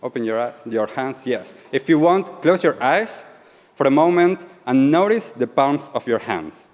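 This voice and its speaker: A man's voice: mid-range voice, expressive, measured pace. A man from Argentina with an Argentine Spanish accent, an earnest yoga and meditation teacher giving a live talk and asking the audience questions. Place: event venue with an audience. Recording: reverberant event hall.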